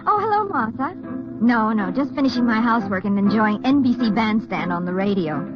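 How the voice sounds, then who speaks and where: An actress playing a cheerful, chatty housewife in a gushing 1950s radio promo. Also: female voice, a little high, animated, a little fast, highs cut off, lightly acted. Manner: gushing, bubbly. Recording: vintage radio recording with music behind.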